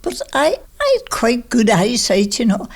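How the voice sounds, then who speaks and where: A woman's voice: fairly high pitch, wildly swooping pitch, measured pace, vocal fry, slightly rough. A woman in her nineties with a Manx accent, casually reminiscing in a plain, emphatic way and repeating herself. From Isle of Man. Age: 90s. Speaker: interviewee recalling childhood.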